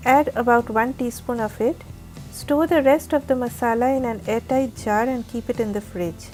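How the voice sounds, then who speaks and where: A woman with a raspy, heavy voice from a flu, giving careful step-by-step cooking instructions as the host of a recipe channel. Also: on the high side, a little mellow. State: hoarse from a flu. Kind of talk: recipe voice-over narration.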